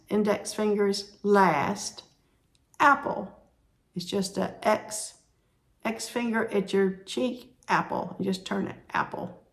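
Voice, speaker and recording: A woman teaching sign language, explaining each sign slowly and repeating the words as she demonstrates. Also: mid-range voice. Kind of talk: slow instructional explanation.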